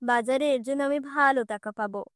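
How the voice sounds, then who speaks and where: An overworked cartoon village mother, played by a woman, impatient and scolding. Her high voice nags with exasperation in a dry recording. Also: fast talker, fairly bright tone, clean tone, dry recording. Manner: exasperated nagging. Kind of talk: children's fairy-tale cartoon voice acting.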